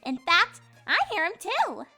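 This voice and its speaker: Bubbly, very high-pitched goofy cartoon mare. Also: female voice, wildly swooping pitch, unhurried, sharp tone, faint music behind, exaggerated voice. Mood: excited. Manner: chirpy, exaggerated. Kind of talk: acted comedic fan fiction reading.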